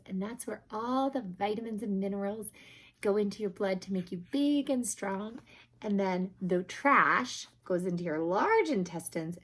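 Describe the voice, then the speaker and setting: A woman's voice: mid-range voice, slightly rough. A woman reading a picture book aloud to young children, expressively, with simple explanatory asides. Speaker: children's story reader.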